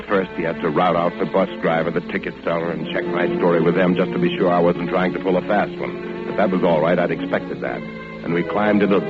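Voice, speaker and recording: Muffled old radio drama, male actor as worried husband, American accent, deep voice, hesitant, insistent, intense 1940s delivery. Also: lightly acted. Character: anxious, insistent architect husband searching for his wife. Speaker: actor and narrator. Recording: dull old radio recording with music.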